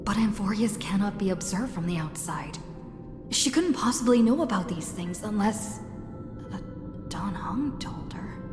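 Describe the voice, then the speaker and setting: A woman playing a serious, wary young heroine in a video game, speaking in a guarded, deliberate way. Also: mid-range voice, animated, lightly acted. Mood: wary, grave. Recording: echoey long reverb under clear music.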